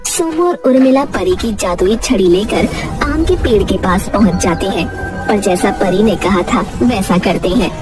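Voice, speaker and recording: A woman's voice: mid-range voice, lively intonation, moderate pace, distant mic. A woman reading a scripted children's story as narrator, with music playing behind her. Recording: music under the voice.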